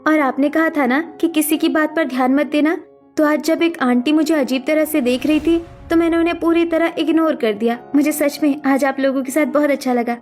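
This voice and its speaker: A woman's voice: high-pitched, fairly even intonation, normal speed, a little mellow, soft background music, natural voice. A woman voicing a sensitive young sister-in-law with earnest emotion in cartoon story dialogue. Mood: grateful, emotional.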